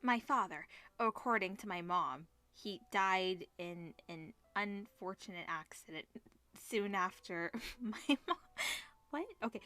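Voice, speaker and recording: A woman's voice: medium pitch, animated, slow, bright, forceful tone, no room sound. A woman reading visual novel text aloud as host, dropping in informal asides and reactions. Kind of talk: reading game text aloud with casual commentary.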